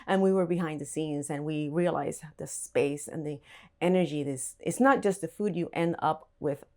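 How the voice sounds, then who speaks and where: Gravelly-voiced woman telling her story. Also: deep voice, normal speed, darkish tone. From Puerto Rico. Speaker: interview guest, wine bar owner. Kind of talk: conversational interview storytelling.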